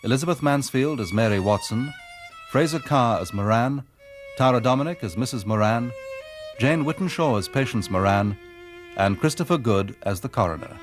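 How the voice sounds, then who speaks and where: A man's voice: medium pitch, lively intonation, normal speed. A man reading a scripted broadcast credits announcement. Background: violin music.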